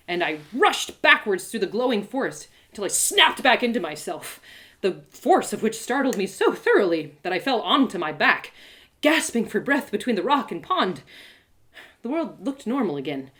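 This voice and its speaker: A woman storyteller narrates a first-person tale in a live reading. Her pitch moves in wide, animated swings, and her delivery is dramatic and expressive. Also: moderate pace. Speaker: author and storyteller.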